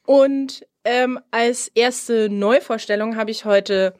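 A woman with a cold, sounding stuffy, hosting a radio show in a relaxed chatty way with frequent hesitations. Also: on the high side, takes it slowly. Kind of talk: casual radio chat.

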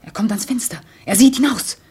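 A watchful, frightened neighbour woman in a radio drama. Her voice is middling in pitch and husky, with a breathy edge that often nears a whisper. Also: animated, a little fast, dark tone. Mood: anxious, hushed. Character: fearful, watchful neighbour woman, turning hard-hearted. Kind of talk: German radio play acting.

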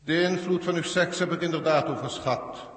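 An actor playing a haughty alien galactic proctor in a 1960s radio drama, formal, pedantic and condescending, with a Netherlandic Dutch accent. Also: male voice, fairly high pitch, normal speed, fairly bright tone, lightly acted. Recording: echoey hall reverb, 1960s radio recording.

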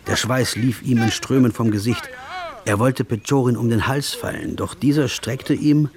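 An actor playing a reflective, observant traveller, narrating his story in a measured literary style for a radio play. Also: medium pitch, a crowd in the background, natural voice. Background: music.

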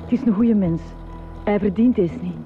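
A woman's voice: mid-range voice, normal speed, phone-quality sound, over music. A woman playing an anxious anonymous caller, pleading over a phone speaker in a radio drama. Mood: urgent, pleading. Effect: telephone speaker.

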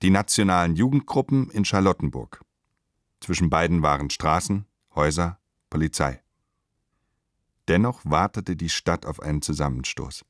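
A man narrates a literary radio drama in a measured, declamatory way with emphatic phrasing. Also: deep voice, lively intonation, no room sound, studio quality.

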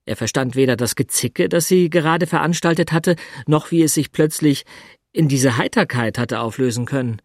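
An actor playing a sober, shy, awkward middle-aged man in an understated, halting radio drama style. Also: on the high side, no echo, studio quality. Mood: tense, sober. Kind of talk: German radio drama, understated dialogue and narration.

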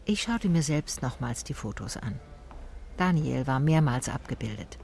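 A woman's voice: rumbling low, animated, moderate pace, darkish tone, a little hiss or hum. Laconic female detective narrator. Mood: sober, laconic. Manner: laconic, clipped sentences, observant. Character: female private detective narrating her case, sober. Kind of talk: first-person radio drama narration.

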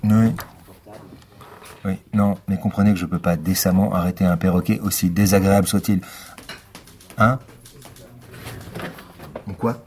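An actor playing a wry, world-weary police lieutenant in a radio drama, deadpan and ironic. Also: male voice, low voice, unhurried, pauses often, slight background noise. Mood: exasperated, dry. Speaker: narrator and lead character. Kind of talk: scripted radio fiction acting.

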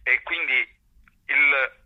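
A man speaking as an interview guest over a phone line, explaining things haltingly and searching for words. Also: mid-range voice, steady tone, husky, no room sound. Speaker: actor and workshop teacher, interview guest. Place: phone call into a radio programme. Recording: dull, band-limited telephone line.

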